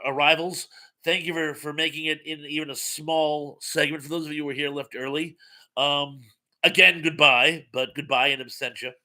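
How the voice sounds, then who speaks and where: A man's voice: on the high side, bright, forceful tone. A man hosting a casual livestream, reading viewer comments aloud and rambling with wry asides, his voice getting worn out. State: losing his voice. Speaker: livestream host reading chat.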